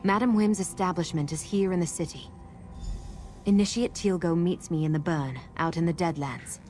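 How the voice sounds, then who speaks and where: An actress playing a guarded, urgent masked woman who protects people from cultists in a fantasy video game, speaking in an earnest, measured way. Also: lowish voice, darkish tone, soft background music, close to an everyday voice. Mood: urgent, businesslike. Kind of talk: video game character dialogue.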